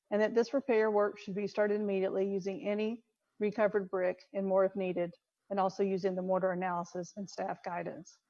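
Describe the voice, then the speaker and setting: Woman, medium pitch, commission member, formal meeting talk, reading aloud, muffled lo-fi online audio. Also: darkish tone. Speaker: commission member making a motion.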